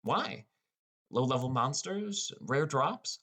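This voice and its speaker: A man's voice: medium pitch, animated, takes it slowly, pushed and bright, full character voice. Understated teenage boy narrator played by a man. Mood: wry, doubtful. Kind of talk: first-person audiobook narration with character dialogue.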